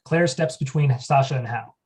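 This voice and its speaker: A man's voice: mid-range voice, normal speed, darkish tone. A man reading the stage directions at a table read in a plain, matter-of-fact way.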